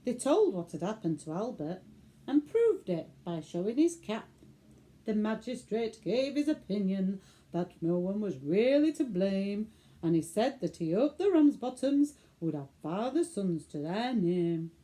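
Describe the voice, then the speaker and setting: Northern English woman, bedtime storyteller, unhurried, very animated pitch, mellow tone, theatrical comic verse. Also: poor audio. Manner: theatrical, deliberate delivery. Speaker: storyteller for children.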